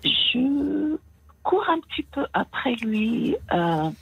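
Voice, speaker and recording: A woman's voice: high voice, sing-song, extremely slow, creaky, husky, no room sound. A woman in her sixties with a slight American accent in her French, calling in to a radio show and talking hesitantly with many fillers. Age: 60s. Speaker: caller. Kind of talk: phone-in confession. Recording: dull long-distance phone line.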